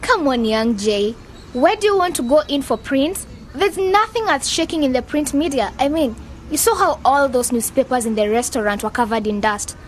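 An actress playing an excitable, outspoken young woman in an educational radio drama, with an emphatic, teasing manner. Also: sits high, moderate pace. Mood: dismissive.

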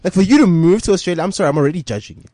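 A man from Johannesburg with a South African accent, whose first language is Tswana, hosting a casual panel podcast in an animated, emphatic way. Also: high voice, dry recording, clean studio sound. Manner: animated, emphatic, quick asides. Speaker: podcast host.

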